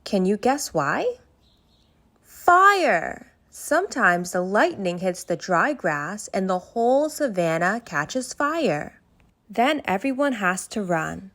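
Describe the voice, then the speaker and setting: A woman narrating a bedtime story aloud for children in an expressive way that speaks directly to young listeners. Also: medium pitch, takes it slowly.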